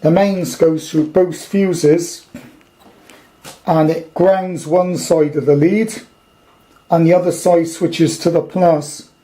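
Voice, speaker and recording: A man with a soft, mellow tone and a slightly high pitch talks through a repair job at his workbench. He is casual and unscripted, rambling a little as he thinks aloud in a matter-of-fact way. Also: fairly even intonation, takes it slowly, low-quality recording. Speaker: hobbyist radio repairer presenting his video. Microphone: handheld camera, speaker behind it.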